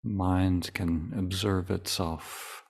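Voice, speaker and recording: Very slow, low-voiced man guiding meditation. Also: vocal fry, husky. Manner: calm, deliberate, long pauses between short phrases. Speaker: meditation teacher leading a guided meditation. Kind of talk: guided meditation.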